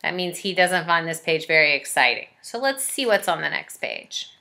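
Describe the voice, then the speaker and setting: A middle-aged woman reading a picture book aloud to young children, pausing to explain and ask questions. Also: lowish voice, animated, bright, forceful tone. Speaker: elementary school staff reading aloud. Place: small living room, indoors.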